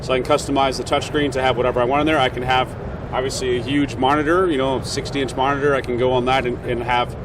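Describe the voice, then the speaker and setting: A man with a medium-pitched voice walks through a product as a company representative. He explains things step by step in an informal, conversational sales style. The recording has clear noise from a busy trade show floor. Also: level tone, quick pace. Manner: conversational, checks in with "right?". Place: trade show exhibition hall.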